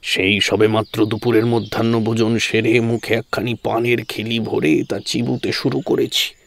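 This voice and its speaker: A man's voice: fairly low pitch, little pitch movement, moderate pace, vocal fry. A man telling a scripted first-person story as a dramatic narrator, in a formal, literary and theatrical manner.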